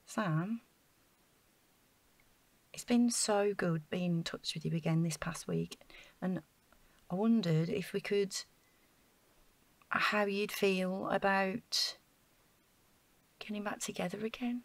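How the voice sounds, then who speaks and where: A woman's voice: medium pitch, slow, long pauses, no echo. An actress playing an anxious, vulnerable woman in a naturalistic audio drama, hesitant and emotional, with sighs. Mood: tender, earnest. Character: Rachel, anxious, vulnerable young woman, apologetic and earnest.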